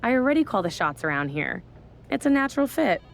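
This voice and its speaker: A woman's voice: on the high side, very animated, a little fast, vocal fry, slight background noise. A woman voicing a smug, scheming female wrestling general manager in a video game cutscene, talking down with sarcasm. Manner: condescending, sarcastic.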